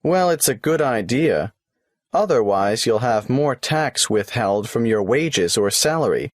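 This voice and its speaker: A man's voice: mid-range voice, expressive, measured pace, no echo, clean studio sound, natural voice. A man playing a tax office clerk in a scripted exam dialogue, patiently asking form questions in a matter-of-fact way. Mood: businesslike. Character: polite adult male government clerk taking an application.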